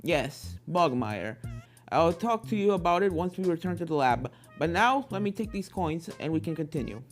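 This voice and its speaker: Woman playing a hearty, animated male mentor pony. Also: lowish voice, normal speed, faint music behind. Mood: explaining. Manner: hearty, instructive, encouraging. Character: encouraging adult male ghost-hunter spirit pony, hearty mentor.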